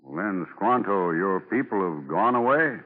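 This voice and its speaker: Ship's captain: low, plain, sympathetic, cautious, old radio drama with narrow sound. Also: male voice, lively intonation, measured pace, slightly rough, full character voice. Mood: doubtful concern. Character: concerned, practical ship's captain, kindly and cautious. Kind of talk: 1940s radio drama acting. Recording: thin 1940s radio broadcast.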